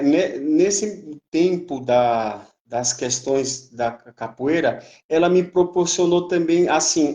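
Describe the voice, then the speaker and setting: A man's voice: fairly high pitch, mellow. Hesitant Brazilian man in his thirties. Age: 30s. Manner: hesitant, many fillers and restarts, uneven pace. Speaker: capoeira instructor, guest. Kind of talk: live-stream interview.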